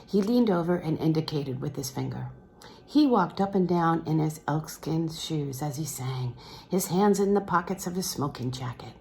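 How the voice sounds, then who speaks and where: A woman narrates literary fiction in a fairly low voice. Her intonation rises and falls widely as she reads, and there is audible noise in the recording. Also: expressive, moderate pace, gravelly, warm tone, rough recording. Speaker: audiobook reader. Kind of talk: expressive literary read-aloud of a novel.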